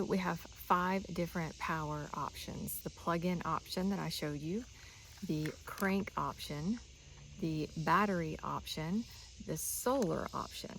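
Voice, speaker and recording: Female product reviewer, low-pitched with some fry, casual and explanatory, faint noisy background. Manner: chatty, instructional.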